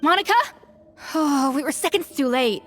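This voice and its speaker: An actress playing a sharp, dramatic young game heroine, with an earnest and crisp manner. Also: female voice, high voice, moderate pace. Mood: realizing. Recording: music behind.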